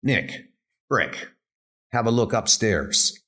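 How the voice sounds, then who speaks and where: A hard-boiled convict leader from a horror comic , acted out by a man. He is bossy and clipped, with a lively, swinging delivery. Steady rain noise runs behind him. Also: on the low side, slow, voice pushed up. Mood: threatening, bossy. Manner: bossy, clipped tough-guy talk.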